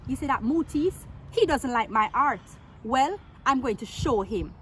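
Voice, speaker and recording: A woman's voice: high voice, animated, measured pace, a little hiss or hum. A woman voicing a proud, quarrelsome male painter in a children's storybook, with an indignant, emphatic manner. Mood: offended.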